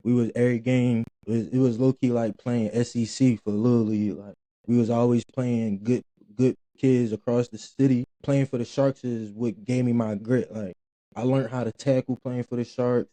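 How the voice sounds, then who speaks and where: A young man, his voice very dark and mellow. He talks in a loose, rambling way with slang and many restarts, recorded close and dry. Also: medium pitch, fairly even intonation, moderate pace, dry recording. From Philadelphia, Pennsylvania. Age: 20s. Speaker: guest, former college football player. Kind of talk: casual interview talk.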